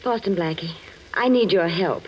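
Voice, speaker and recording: A woman's voice: on the low side, normal speed, darkish tone, natural voice. Woman acting a poised young lady, muffled radio. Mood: polite. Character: polite, determined young lady rancher. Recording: muffled, hissy old 1940s radio recording.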